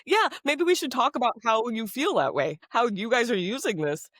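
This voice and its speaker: Woman with a lively, swooping voice. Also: fairly high pitch, moderate pace, no echo, clean studio sound. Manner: rambling, trails off and restarts thoughts. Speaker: podcast co-host. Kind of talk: casual podcast conversation.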